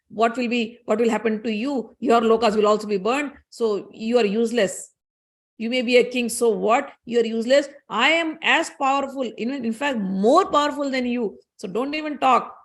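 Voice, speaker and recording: An angry male fire god who scorns his rivals in sharp, heated outbursts, as a woman storyteller voices him. His voice sits high. It sounds like a mythological story told aloud. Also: close to an everyday voice.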